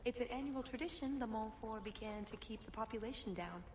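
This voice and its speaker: A woman's voice: on the high side, brisk, echoey room, long reverb tail, noisy background, close to an everyday voice. A woman playing a knowing, playful huntress and guide in a video game.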